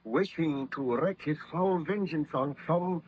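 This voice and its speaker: An actor playing a haunted, fearful baron scientist in formal, melodramatic 1930s radio drama style. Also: male voice, fairly high pitch, unhurried, breathy edge. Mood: grave, fearful. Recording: muffled vintage radio recording.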